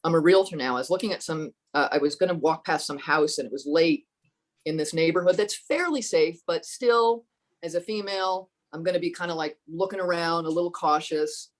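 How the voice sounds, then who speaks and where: Low-voiced reflective woman, halting speech. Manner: thoughtful, halting, with many restarts. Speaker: podcast guest. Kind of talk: reflective personal storytelling.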